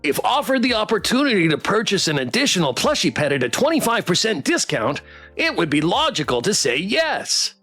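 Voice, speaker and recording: A man's voice: high-pitched, sing-song, a little fast, bright, forceful tone, dry recording, soft background music, voice pushed up, full character voice. A man voicing a condescending, blunt talking plushie toy in a visual novel game. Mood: officious. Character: snippy, condescending talking plushie toy pet with big eyes. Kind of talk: visual novel character read aloud.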